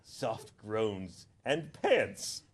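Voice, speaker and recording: A man's voice: fairly low pitch, sing-song, extremely slow, breathy edge. A man doing a comedic read-aloud on a livestream, theatrical and often breaking off to comment with a chuckle. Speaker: reader on a charity livestream. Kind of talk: comedic read-aloud of fan fiction with ad-libs.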